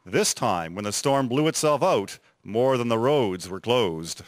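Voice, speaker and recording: A man's voice: mid-range voice, expressive, unhurried. A man narrating a scripted historical story as a storyteller.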